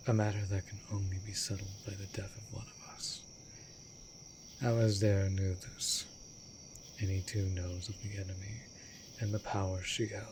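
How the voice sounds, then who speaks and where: A man's voice: sits low, lightly acted. A man playing an immortal vampire master, a tender and brooding lonely lover, speaking formally and often breathily in a romantic fantasy audio roleplay. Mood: grave, confessing. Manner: formal archaic wording, slow and deliberate, often dropping to breathy whisper. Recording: steady background noise of night crickets. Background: night insects and crickets.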